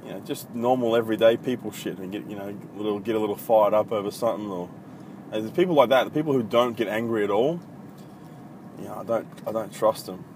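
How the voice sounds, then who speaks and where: A man's voice: lowish voice, fast talker, darkish tone. A man in his thirties from country Victoria with an Australian accent, hosting a casual solo podcast in a blunt, profane, rambling way full of ums. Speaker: podcast host. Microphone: iPhone. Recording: noisy phone recording with traffic.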